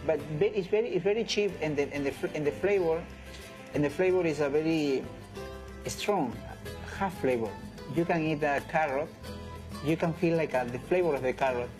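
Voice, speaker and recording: Peruvian man speaking halting English over music. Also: fairly high pitch. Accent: Peruvian Spanish. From Peru. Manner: halting non-native English with repeated phrases. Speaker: chef. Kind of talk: informal documentary interview. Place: indoors in a restaurant.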